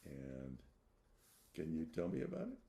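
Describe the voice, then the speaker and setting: Soft-spoken male therapist, roomy sound. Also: rumbling low, huge pitch swings, vocal fry. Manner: gentle, unhurried prompts with many uh-huhs. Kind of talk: guided therapy session over video call. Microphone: video call.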